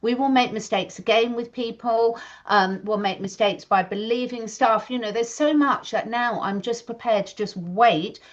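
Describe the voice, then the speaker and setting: A woman's voice: fairly high pitch, moderate pace, hardly any pauses. Woman, earnest, slightly muffled. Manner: earnest, emphatic, talks in long runs. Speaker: co-host. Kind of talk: casual video chat. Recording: slightly dull lo-fi audio.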